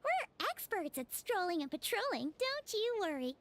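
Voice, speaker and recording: A woman voicing a tiny, excitable childlike fairy companion in a video game, with a squeaky, cutesy, exaggerated delivery. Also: moderate pace, slight background noise, exaggerated voice. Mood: eager. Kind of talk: video game character acting.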